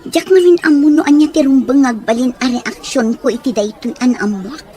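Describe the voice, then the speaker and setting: A woman's voice: high voice, normal speed, mellow, a faint crowd, natural voice. A woman playing an outspoken, concerned best friend in an audio soap drama, pressing for answers emphatically. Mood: guarded, confiding. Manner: emphatic, probing.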